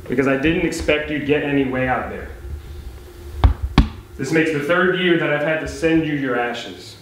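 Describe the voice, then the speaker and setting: A man's voice: fairly high pitch, fairly bright tone, a little hiss or hum. A man reading an old letter aloud to a live audience at a poetry night, breaking off now and then for casual, chatty asides. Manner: reads with offhand chatty asides. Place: live poetry event in a beach house venue. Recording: very echoey room with faint ambience.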